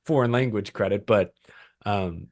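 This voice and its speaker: A man's voice: lowish voice, a little slow, darkish tone. A man co-hosting a racing podcast in casual chat, talking loosely with frequent laughs and restarts. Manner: chatty, laughs often, many restarts. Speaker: podcast co-host.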